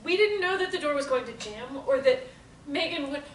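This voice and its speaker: Actress reading very high, lively, placating group member, echoey. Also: female voice, fairly quick. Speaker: table-read actor. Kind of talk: script table reading. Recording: roomy, distant mic, light hiss.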